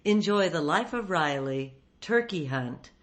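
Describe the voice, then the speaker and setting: A woman's voice: lowish voice, lively intonation, measured pace. A woman radio host reading a scripted introduction.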